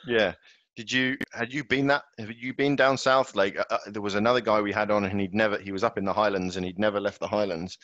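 A man hosts a podcast in a fairly low voice, asking loose, rambling questions padded with fillers. The recording is dry and close with a dull, lo-fi tone. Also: steady tone, fast talker, a bit bright, no room sound. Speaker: podcast host. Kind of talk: casual interview chat.

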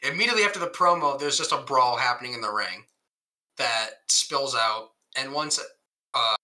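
Bright-voiced, laughing podcast guy. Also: medium pitch, rough recording. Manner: laughs often, swears freely, animated recapping. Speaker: podcast co-host. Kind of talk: casual chat.

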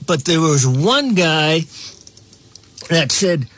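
Slow, rambling male talk show host. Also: on the high side, very animated, a bit bright, dry recording. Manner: slow, rambling delivery with frequent restarts and chuckles. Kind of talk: political commentary monologue.

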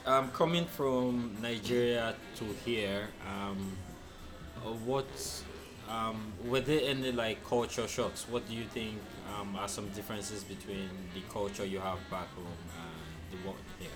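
A man with a somewhat low voice hosts a casual podcast interview. He asks loose, halting questions full of fillers and laughs easily. Music plays underneath his voice. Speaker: podcast host.

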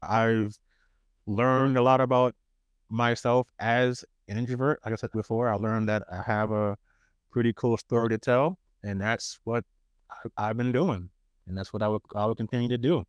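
A man from Queens with a New York accent, co-hosting a podcast in casual chat, relaxed and laughing often. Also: medium pitch, normal speed, clean tone, dry recording, lo-fi sound. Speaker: podcast co-host.

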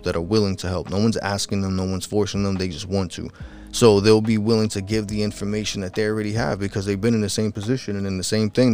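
A man streaming from home at night, talking in a rambling, easygoing way with lots of fillers, his voice a bit raspy. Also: low voice. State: slightly raspy late at night. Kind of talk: casual solo livestream talk.

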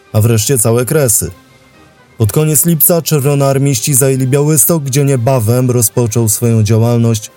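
A man's voice: medium pitch, dark tone. A man narrating a history documentary from a script, as its host.

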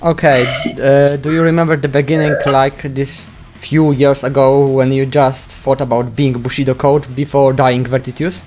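A man with a Polish accent hosting a metal interview, native Polish, halting and searching for his English words. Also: medium pitch, level tone, a little mellow, soft background music. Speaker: interview host.

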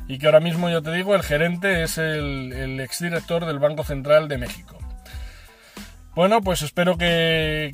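Chatty man, fairly high voice. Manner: chatty, frequent fillers and self-corrections, ironic asides. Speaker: host of a finance channel. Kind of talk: informal explainer to camera.

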